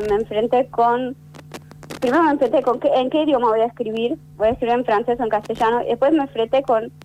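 A woman guest, French by first language, speaking thoughtfully with many pauses and restarts in an interview. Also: fairly high pitch, mellow, low-quality recording. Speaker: interview guest, actress and writer. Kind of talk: conversational interview.

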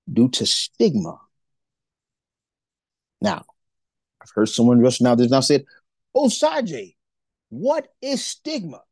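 Man from Nigeria, faith podcast co-host, animated, preachy, swooping pitch, dry close sound. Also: dry recording. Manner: animated, preachy, acts out quoted voices. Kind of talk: faith-based podcast talk.

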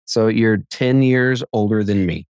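Low-voiced, teasing podcast co-host. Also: male voice, unhurried, a little mellow, clear, resonant tone, no echo, clean studio sound. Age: 30s. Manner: teasing, upbeat, wraps up the show. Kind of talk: podcast conversation.

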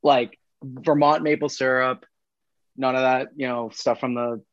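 A man's voice: medium pitch, steady tone, a little slow, no room sound, muffled recording. A man in his thirties, a band member, chatting loosely in an interview with lots of fillers and laughter. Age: 30s. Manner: rambling, many fillers, laughs often. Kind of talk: casual interview chat.

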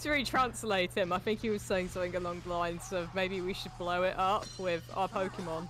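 A woman's voice: lowish voice, fairly even intonation, fairly quick, background noise. A woman co-hosting a casual film reaction, sardonic and joking, with plenty of swearing. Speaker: podcast co-host. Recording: film soundtrack playing behind.

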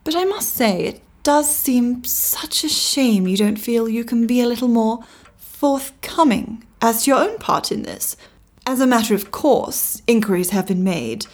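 A British woman spy in an audio drama. Her voice sits on the high side. She is brisk and impeccably formal, with genteel manners that carry clear condescension. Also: darkish tone. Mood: cool, probing.

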